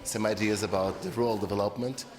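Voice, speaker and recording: A man's voice: on the low side, fast, music in the background. A man from Portugal introducing himself informally, repeating words as he searches for phrasing. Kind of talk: short self-introduction. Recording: long hall reverb.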